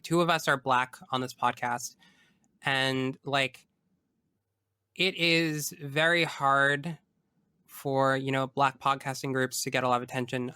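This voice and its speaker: A man talking casually and emphatically as a podcast guest, in an expressive, informal way. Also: medium pitch, clear, resonant tone, dry recording, clean studio sound. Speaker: podcast guest, tabletop game podcast creator. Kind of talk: casual interview chat.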